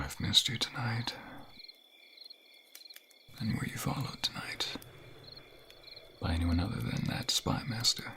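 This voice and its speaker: Guy doing a loving king asmr roleplay, soft breathy murmurs n whispers, crackly fry, crickets faintly behind. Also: lowish voice, full character voice. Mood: tender, hushed. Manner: tender, hushed, breathy murmuring, often whispering. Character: affectionate, flirtatious fantasy king speaking secretly to lover. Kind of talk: intimate ASMR romantic roleplay.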